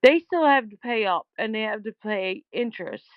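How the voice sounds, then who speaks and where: A woman's voice: a little high, expressive, normal speed, no room sound. A woman leaving an informal complaint voicemail over the phone, speaking in a blunt, aggrieved and emphatic way, with dull lo-fi sound. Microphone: phone call.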